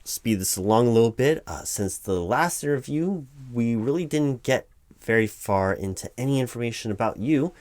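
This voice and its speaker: A man's voice: medium pitch, expressive, normal speed, a little mellow. A man playing a distracted, evasive corporate hiring manager in a one-sided comedic interview roleplay, stammering and rambling officiously. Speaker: performer playing a job interviewer.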